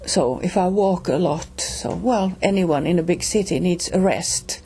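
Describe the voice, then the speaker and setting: A middle-aged woman with a Finnish accent, telling a personal story slowly, with pauses and restarts. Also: fairly low pitch, warm tone, a little hiss or hum. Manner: slow, halting, with pauses and restarts. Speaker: reader and storyteller.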